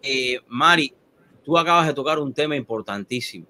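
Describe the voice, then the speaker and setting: A man hosting an interview, animated and speeding up when he makes a point. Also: medium pitch, normal speed. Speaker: host. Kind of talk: interview conversation.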